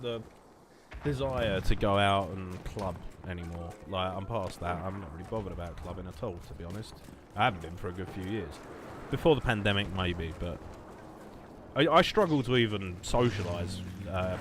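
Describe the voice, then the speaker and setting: Male, late 20s, southern English accent. Lower register, sweary casual streamer banter, clear game noise behind. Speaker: game livestreamer. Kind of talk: casual rambling chat while gaming.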